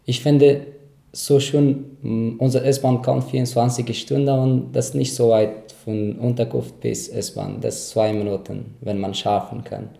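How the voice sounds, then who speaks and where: A man from Afghanistan, a native Dari speaker with an Afghan accent, giving casual interview answers and haltingly searching for words. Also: medium pitch, flat delivery, creaky, dark tone. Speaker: interviewee, asylum seeker. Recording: roomy, echoing sound.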